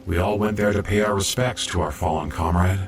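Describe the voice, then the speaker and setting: A man's voice: deep voice, a little fast, soft background music. A man playing an earnest, dedicated young robot medic in an audio drama, reflective and polite. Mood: somber, reflective. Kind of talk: audio drama narration and dialogue.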